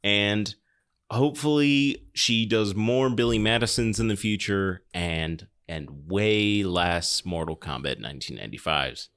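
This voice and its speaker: A man's voice: lowish voice, measured pace, a bit bright, smooth. A man hosting a podcast, chatting casually about films in a wry, joking, conversational way. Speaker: podcast host. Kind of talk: casual film discussion and show announcements.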